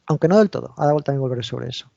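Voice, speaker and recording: A man's voice: mid-range voice, expressive, racing, vocal fry, mellow, dry recording, lo-fi sound. A man with an accent from Spain giving an online technical talk, explaining step by step and often restarting his sentences. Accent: Spain Spanish. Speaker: invited lecturer.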